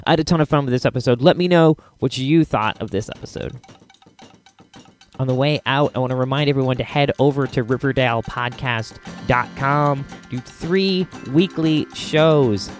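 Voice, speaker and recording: An American man hosting a podcast, chatting casually to listeners in an enthusiastic, rambling, halting way, close to the microphone. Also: mid-range voice. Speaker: podcast host.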